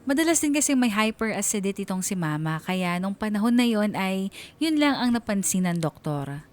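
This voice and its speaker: A woman's voice: medium pitch, big pitch swings, normal speed, darkish tone. A woman narrating a first-person life story for a Filipino radio drama.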